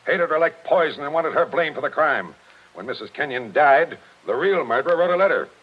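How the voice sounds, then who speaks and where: Gruff, animated old-radio police captain. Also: male voice, on the high side, fairly quick. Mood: insistent, explaining. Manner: forceful, insistent, clipped tough-cop talk. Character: gruff, relentless police captain laying out the truth. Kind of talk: 1940s radio acting. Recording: dull vintage 1940s radio recording.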